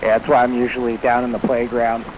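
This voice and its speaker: A man's voice: medium pitch, monotone, quick pace. A man chatting casually as a CB radio operator over a two-way link, grumbling but good-humored. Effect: two-way radio transmission. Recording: noisy weak CB radio signal.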